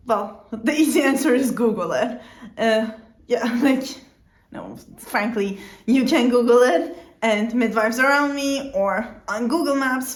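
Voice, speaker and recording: Young woman vlogger, non-native English, higher voice, echoey room. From outside the Netherlands. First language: not English. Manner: conversational, with fillers and self-corrections. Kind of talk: informal explainer vlog.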